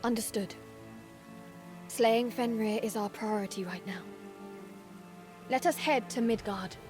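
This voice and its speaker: A woman voicing a dutiful young warrior maiden in a video game, speaking formally and earnestly in a measured way. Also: a little high, over music, lightly acted. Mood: earnest, troubled. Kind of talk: video game cutscene acting.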